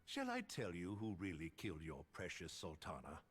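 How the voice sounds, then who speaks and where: A man's voice: sits low, wildly swooping pitch, moderate pace, a bit bright, breathy edge, pitched below the performer's own voice, exaggerated voice. A man voicing a cold, taunting male traitor commander in a sneering manner.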